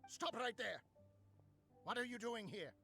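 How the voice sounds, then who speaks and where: A man's voice: very high voice, expressive, a little slow, vocal fry, loud music behind the voice, exaggerated voice. A man voicing an irritable, defensive inventor in a video game, curt and grudging. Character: irritable, defensive male inventor, grudgingly cooperative villain henchman.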